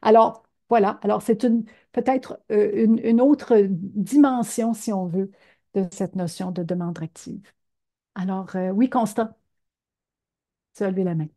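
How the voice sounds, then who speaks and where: A woman's voice: mid-range voice, fairly even intonation, measured pace, mellow. An older woman, giving an earnest talk to seniors with many fillers. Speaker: presenter.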